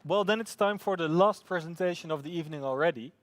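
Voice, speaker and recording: An upbeat man hosts a live event, pausing often on fillers. His voice sits a little high, and the sound is dry and close. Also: no room sound. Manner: upbeat, hesitant with many fillers. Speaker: event host.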